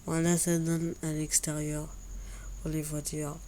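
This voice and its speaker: A woman with a cold and a stuffy voice, chatting slowly through a building game, often repeating herself. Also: sits low, monotone, mellow, slight background noise. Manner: slow, repeats words while building. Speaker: Minecraft YouTuber. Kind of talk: casual gameplay commentary.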